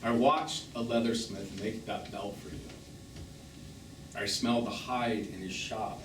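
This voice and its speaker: A man's voice: fairly low pitch, steady tone, unhurried, natural voice. Echoey, distant actor as wounded middle-aged man. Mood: wistful, mournful. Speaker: stage actor. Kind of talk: live stage drama acting. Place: small theatre, recorded from a distance. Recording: echoey, noisy stage performance recorded from afar.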